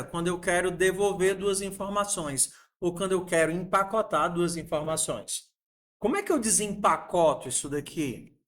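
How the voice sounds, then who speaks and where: A man in his forties with a fairly high voice walks students through code. He explains patiently, asks them questions along the way and sprinkles in friendly diminutives. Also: a bit bright. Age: 40s. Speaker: programming teacher. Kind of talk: coding lesson screencast.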